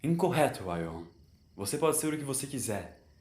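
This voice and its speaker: A man's voice: medium pitch, very animated, moderate pace, darkish tone, roomy sound, natural voice. A man voicing an eccentric time-travelling doctor in an audio story, confident and lecturing, with emphatic, theatrical delivery.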